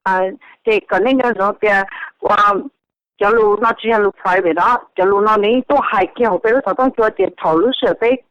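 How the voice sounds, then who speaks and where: A woman's voice: medium pitch, normal speed, no echo. A woman speaking conversationally as a participant on a telephone conference call. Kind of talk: conversational speech on a group call. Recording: dull, lo-fi telephone conference line.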